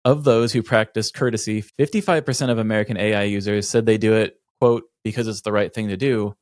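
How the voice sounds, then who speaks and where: Low-voiced man podcaster, dry close sound. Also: steady tone, fairly quick, darkish tone, no echo. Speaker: podcast co-host. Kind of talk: casual explaining and joking banter.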